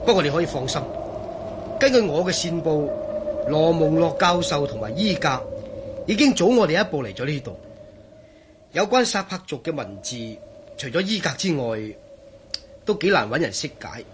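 An actor with a Hong Kong Cantonese accent playing an excitable, sceptical friend in a radio drama, animated and argumentative. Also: male voice, fairly high pitch, moderate pace, pauses often, noisy background. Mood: curious.

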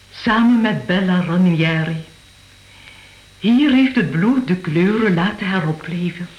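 A woman's voice: fairly low pitch, echoey room, highs cut off. An actress playing a gracious, mysterious old lady of a manor in a radio play, with a motherly, commanding manner. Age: older.

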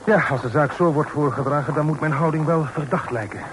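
An actor in a Dutch radio play, playing a courteous young gentleman who is uneasy and a little hesitant but composed. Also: on the high side, fairly quick, natural voice. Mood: uneasy, resigned. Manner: courteous, hesitant, somewhat puzzled. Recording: noisy old radio play recording.

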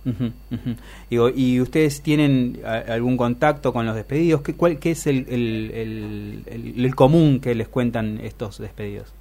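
A man with a Rioplatense Argentine accent interviewing conversationally, halting as he phrases his questions. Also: mid-range voice, dark tone. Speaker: radio interviewer, host.

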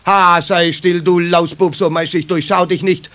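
An actor playing a fussy, puzzled customer in a children's radio play, stammering and easily indignant, with a Bavarian accent. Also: male voice, sits high, level tone, unhurried, fairly bright tone. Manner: hesitant stammering, scolding. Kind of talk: 1970s German children's radio play acting.

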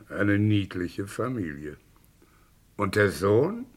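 An actor playing a cynical, gloating British financier in a vintage German crime radio drama, sardonic and theatrical. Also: male voice, fairly low pitch, animated, takes it slowly. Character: cynical, gloating titled British financier scheming on the stock exchange.

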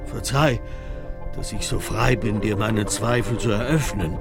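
An actor playing a stern, formal temple priest who questions ceremoniously in a radio drama. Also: male voice, fairly low pitch, unhurried. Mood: respectful, doubtful. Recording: echoey reverberant hall, music behind.

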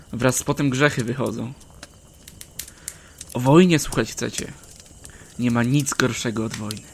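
Old soldier yarn-spinner voiced by a man: measured, archaic diction, mid-range voice, faint hiss behind. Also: medium pitch, gravelly, lightly acted. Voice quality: measured, archaic storyteller's delivery. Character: aging male war veteran telling tales to travelers. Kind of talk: first-person storytelling in old-fashioned speech.

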